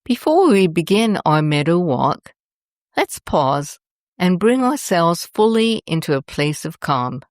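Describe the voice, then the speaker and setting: Female meditation guide: low voice, very unhurried, soothing and deliberate, close dry sound. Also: big pitch swings, darkish tone, no room sound. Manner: soothing, deliberate, with long pauses between short phrases. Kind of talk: scripted guided meditation narration.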